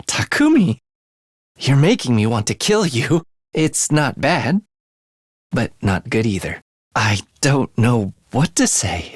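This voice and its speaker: An actor playing a morbid young man in a video game, a soft-spoken killer who is languid, menacing and gleefully excited by death. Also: mid-range voice, slightly rough, dry recording, studio quality, full character voice. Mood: languidly menacing. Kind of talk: theatrical video game voice acting.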